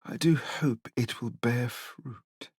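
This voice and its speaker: An actor playing a grandiloquent, paternal older policeman with flowery wording and dramatic pauses in an audiobook drama. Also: medium pitch, darkish tone, husky, no echo, clean studio sound. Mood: grave, theatrical. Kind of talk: full-cast audiobook acting.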